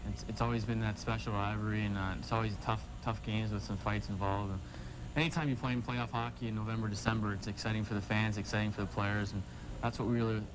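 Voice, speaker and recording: Male athlete from Canada in a casual interview, rapid, modest and halting, noisy backdrop. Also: on the low side, steady tone. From Timmins, Ontario, Canada. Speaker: hockey player, team captain interviewed. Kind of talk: casual sports interview answers.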